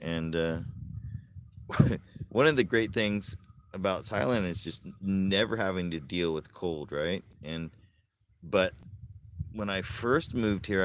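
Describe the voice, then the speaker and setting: Deep, slow-talking man from Florida. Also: a little hiss or hum. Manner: rambling, chuckling, chats with viewers. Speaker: livestream host. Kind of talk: casual live chat. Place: outdoors at night.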